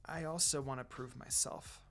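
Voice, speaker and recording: A man's voice: mid-range voice, fairly even intonation, moderate pace, pitched below the performer's own voice, lightly acted. A man voicing a shy, earnest young girl ninja who speaks hesitantly and politely in a story reading. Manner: hesitant, soft-spoken, polite. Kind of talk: fan fiction reading with character voices. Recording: music behind the voice.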